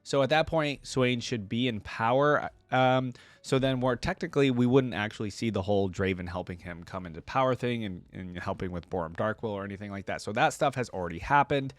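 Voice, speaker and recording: A man's voice: lowish voice, fairly quick, few pauses, dry recording. A man giving casual, conversational reaction commentary to viewers, thinking aloud in a rambling way. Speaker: video creator reacting to game lore.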